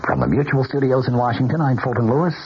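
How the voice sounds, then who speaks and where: A man giving formal, measured news commentary in the style of 1970s radio. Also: medium pitch, big pitch swings. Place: radio studio. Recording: muffled, faintly noisy vintage radio broadcast.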